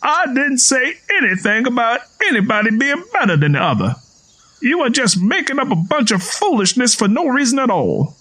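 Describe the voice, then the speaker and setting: Man voicing a high-pitched, taunting Southern man. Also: sing-song, moderate pace, sharp tone, full character voice. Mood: placating. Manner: folksy, taunting, argumentative.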